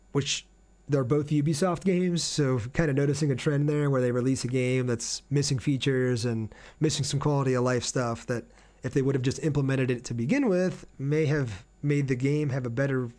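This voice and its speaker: A male game streamer with a medium-pitched voice chats casually to his viewers. He talks through things in an informal, conversational way, reading comments and replying as he goes. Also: a little mellow. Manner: reads viewer comments aloud and answers them. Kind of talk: casual livestream chat over gameplay.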